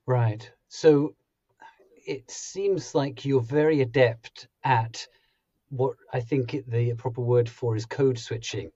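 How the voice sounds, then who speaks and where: Mellow-voiced man hosting a podcast interview. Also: mid-range voice, fairly even intonation, slow, no echo, muffled recording. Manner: friendly, curious, some fillers. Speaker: podcast host. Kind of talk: interview questions. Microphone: headphones with a desk mic.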